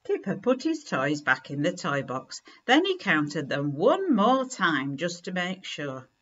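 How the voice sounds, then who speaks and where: A middle-aged woman reading a children's picture book aloud in a deliberate, expressive way at home. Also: fairly low pitch, highs cut off. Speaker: story reader. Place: living room at home, indoors.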